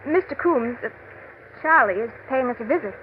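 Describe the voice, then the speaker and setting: An actress playing an anxious, placating housewife in classic radio drama style, gracious and pleading. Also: female voice, a little high, animated, fairly quick, narrow, tinny audio, lightly acted. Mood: nervously gracious. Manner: gracious, pleading, eager to smooth things over. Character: anxious, placating young-sounding housewife covering for danger. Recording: thin, lightly noisy vintage radio recording.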